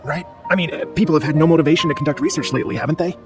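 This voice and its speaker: A nervous, talkative young researcher with a hesitant manner, voiced by a man for a video game. His voice runs slightly high and quick, with faint music behind it. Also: close to an everyday voice. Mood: evasive, nervous.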